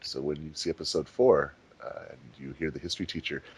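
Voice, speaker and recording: A man's voice: a bass voice, fast talker, husky. A man giving casual, enthusiastic group commentary on a fan dub he worked on. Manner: enthusiastic, rambling fan talk. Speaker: fandub director on a commentary track.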